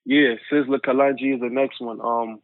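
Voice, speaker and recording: A man from a Guyanese family, a music producer who is a guest, answering quickly in casual chat. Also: medium pitch, steady tone, a crowd in the background. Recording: tinny phone-like remote call.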